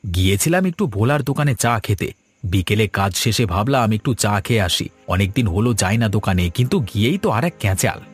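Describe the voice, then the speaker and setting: Man acting a quick-talking, lively village father. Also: lowish voice, husky, no room sound, faint music behind. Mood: chatty. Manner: colloquial, placating. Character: good-natured, placating village father and husband. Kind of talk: Bengali cartoon story dubbing.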